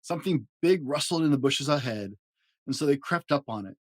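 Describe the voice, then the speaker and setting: A man reading a children's picture book aloud as a storyteller, with an expressive, dramatic delivery. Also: medium pitch, a little fast, no echo.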